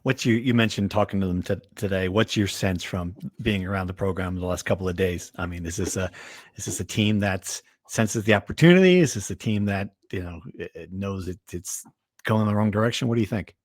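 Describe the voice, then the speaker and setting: A man's voice: low-pitched, fairly even intonation, fairly quick, no room sound, clean studio sound. A man co-hosting a sports podcast, talking through games in a casual, conversational style.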